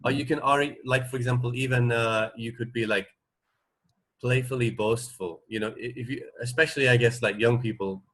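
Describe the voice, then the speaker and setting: A man in his forties chatting casually as a radio co-host, explaining things in a halting, rambling way that often trails off mid-thought. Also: fairly low pitch, fairly bright tone. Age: 40s. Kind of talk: casual unscripted chat, explaining.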